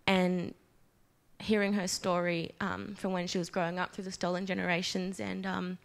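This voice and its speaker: A young woman with a croaky voice, telling her personal story casually on a conference panel. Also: lowish voice, little pitch movement, normal speed. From Australia. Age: young adult. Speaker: panellist, dance school director. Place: conference hall.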